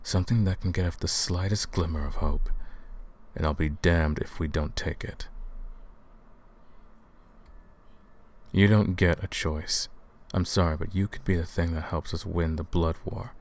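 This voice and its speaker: A man performs a centuries-old male vampire turned hunter, weighed down by guilt yet driven. The voice sits low and moves slowly, hushed and somber, with halting pauses. It is an intimate ASMR roleplay monologue. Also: steady tone. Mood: grim, resolute.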